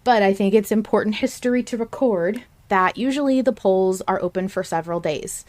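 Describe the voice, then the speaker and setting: A woman's voice: medium pitch, fairly quick, darkish tone. A woman hosting a podcast, talking casually and with a fan's enthusiasm about a fiction show. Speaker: podcast host.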